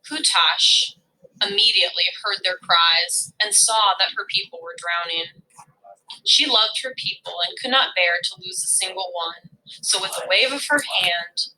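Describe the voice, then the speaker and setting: A woman reading a children's story aloud as a storyteller, with expressive, dramatic and deliberate phrasing. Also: lowish voice, low-quality recording.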